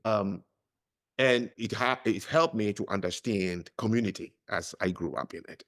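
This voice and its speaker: Animated, emphatic middle-aged man. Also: on the low side. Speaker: podcast guest. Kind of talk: conversational interview.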